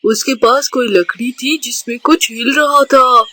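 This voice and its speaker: Woman as cartoon boy bird, remorseful, high bright voice, anxious, confessional. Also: a little slow, exaggerated voice. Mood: earnest. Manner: earnest, confessional.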